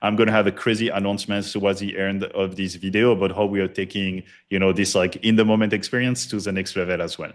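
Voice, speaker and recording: A middle-aged man with a French accent gives a loose, energetic live presentation, full of fillers and casual cursing. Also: deep voice, quick pace, hardly any pauses. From France. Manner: loose, hype-driven, heavy filler words and cursing. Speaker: conference presenter, studio founder.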